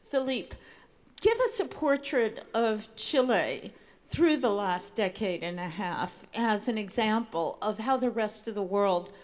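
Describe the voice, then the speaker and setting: A woman moderating a conference panel, framing a question for a speaker in a measured, formal way. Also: mid-range voice.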